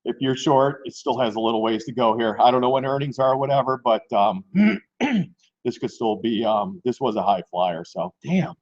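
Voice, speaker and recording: Hoarse guy doing a stock trading livestream, keeps clearing his throat, kinda dull lo-fi audio. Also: medium pitch, animated, moderate pace, darkish tone. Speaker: stock trading live stream host. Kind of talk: casual live market commentary.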